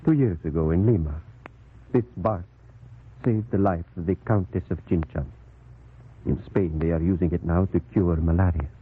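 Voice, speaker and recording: An actor playing a calm, grave, fatherly priest in a 1940s radio drama, with deliberate, soothing delivery. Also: deep voice, close to an everyday voice. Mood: grave, explaining. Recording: noisy, tinny old radio recording.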